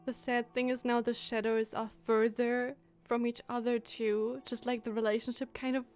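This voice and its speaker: A woman giving casual live gameplay commentary in a gushing, expressive way with drawn-out emphasis, game music behind her. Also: a little high, smooth. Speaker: game streamer.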